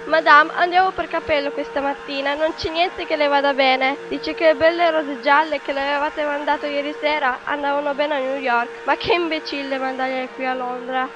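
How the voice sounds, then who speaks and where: A woman's voice: high voice, steady tone, normal speed, noisy background, lightly acted. A woman playing a diva's exasperated maid in a radio drama, reporting in a gossipy, matter-of-fact way. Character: exasperated female maid of a demanding diva. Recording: dull, lo-fi radio drama sound.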